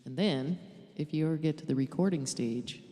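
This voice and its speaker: A woman with a low voice, a singer-songwriter hosting a live stream, chatting casually and laughing as she tells stories between songs. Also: normal speed. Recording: reverberant room with loud guitar music.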